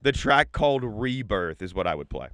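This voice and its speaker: A man's voice: lowish voice, sing-song, normal speed, a bit bright, smooth, no room sound, studio quality. A young man co-hosting a casual comedy podcast, still congested from an illness, trading sarcastic jabs. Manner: sarcastic, banter-heavy. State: congested, with a cough. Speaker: podcast co-host.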